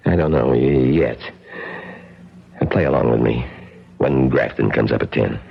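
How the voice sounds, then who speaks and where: An actor playing a cornered, stammering impostor in a vintage radio drama. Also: male voice, rumbling low, measured pace, gravelly, slightly rough, full character voice. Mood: wary. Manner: hesitant, stammering. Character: cornered male impostor hiding behind a dead man's identity. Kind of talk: 1970s radio drama acting. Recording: muffled old radio broadcast.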